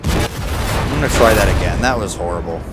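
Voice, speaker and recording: A man's voice: deep voice, moderate pace, over loud music. Grumbling young man streaming a game. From United States. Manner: grumbling, sarcastic, rambling. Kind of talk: casual gameplay commentary.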